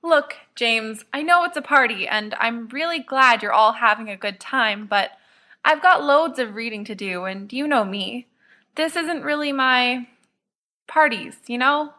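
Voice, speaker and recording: A woman's voice: fairly high pitch, lively intonation, measured pace, bright, forceful tone. A woman voicing a bookish, stodgy teenage boy with a mild, dry, protesting manner in an audiobook. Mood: reluctant protest. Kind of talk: fan fiction audiobook character.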